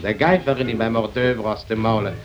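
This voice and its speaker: A man's voice: lowish voice, brisk, natural voice. Actor as a sardonic young man, dull old recording. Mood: sardonic mockery. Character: jealous, mocking young working-class lover, sardonic. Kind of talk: old German radio drama acting. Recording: dull old radio recording with music behind.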